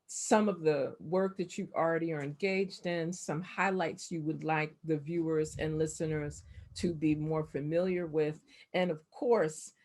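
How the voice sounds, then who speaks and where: A woman co-hosting a video call interview, warm with encouraging affirmations. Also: deep voice. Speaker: co-host.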